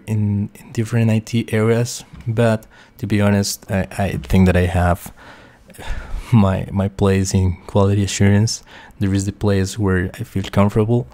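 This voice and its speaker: A young man from Costa Rica with a Spanish accent, a QA engineer talking through a software tutorial with many fillers and restarts. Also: lowish voice, no room sound. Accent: Costa Rican Spanish. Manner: hesitant, many fillers and restarts, quietly talks to himself. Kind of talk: screen-share tutorial explanation.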